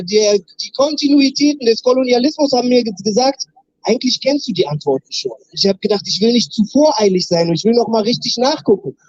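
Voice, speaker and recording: Guy from berlin firing up a street protest, high voice, kinda dull lo-fi sound, leads chants and shouts slogans. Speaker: rally speaker. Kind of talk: protest rally speech. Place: outdoor street rally.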